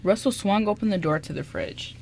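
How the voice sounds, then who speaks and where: A woman's voice: mid-range voice, a little fast, darkish tone, slight background noise. A woman reading scripted narration for an amateur radio drama.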